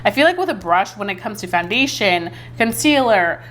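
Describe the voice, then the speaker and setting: A woman's voice: mid-range voice, animated, moderate pace, fairly bright tone. A woman vlogging a casual makeup review and demo. She talks in a chatty, filler-heavy, enthusiastic way and sounds a bit congested from a recent cold. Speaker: beauty vlogger.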